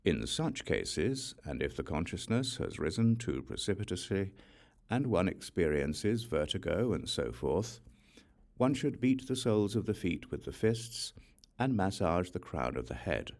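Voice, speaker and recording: Deep-voiced, slightly gravelly male audiobook reader. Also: sing-song, a little mellow. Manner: measured, instructional, formal delivery. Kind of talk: scripted narration of a religious text.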